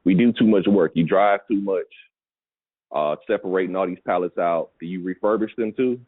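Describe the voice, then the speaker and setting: Deep husky man coaching over the phone. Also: normal speed, no echo. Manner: conversational, repeats check-in questions for understanding. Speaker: pallet business coach advising a caller. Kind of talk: casual coaching conversation. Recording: narrow phone-like call audio.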